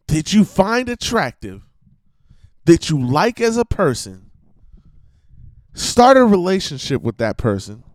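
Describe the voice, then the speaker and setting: Man, slightly hoarse, high-pitched, emphatic, preachy, swearing, dry sound. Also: darkish tone, no room sound. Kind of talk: casual monologue rant.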